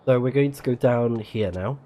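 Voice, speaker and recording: A man's voice: medium pitch, moderate pace, warm tone. A man giving casual, chatty and reactive let's play commentary as a game streamer.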